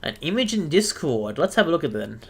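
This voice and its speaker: An Australian man hosting a live stream, chatting casually with viewers and often pausing to search for the right word. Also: on the high side, big pitch swings, vocal fry, breathy edge. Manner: chatty, searches for words, self-deprecating.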